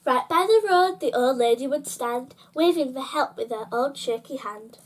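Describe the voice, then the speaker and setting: A woman's voice: sits high, lively intonation, normal speed. A woman storyteller reading a rhyming picture book expressively, in a sing-song manner, speaking directly to children.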